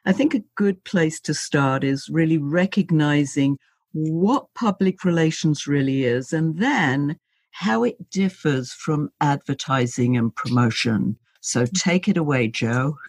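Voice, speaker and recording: A woman's voice: low-pitched, measured pace, no echo. A woman hosting an interview podcast, presenting in a prepared, introductory style. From Britain. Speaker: podcast host.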